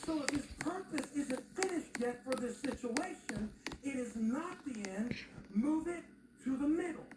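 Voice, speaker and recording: High-voiced woman preaching emphatically before crowd noise. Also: animated, unhurried. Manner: emphatic, rhetorical repetition. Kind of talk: sermon.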